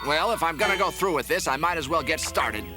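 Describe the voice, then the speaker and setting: A little cartoon hedgehog dad, low in pitch and full of exasperated, fretful grumbling. He is proud and easily flustered, his voice rising and falling a lot over background music. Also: animated, fast. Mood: worried. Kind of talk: animated cartoon voice acting. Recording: music behind the voice.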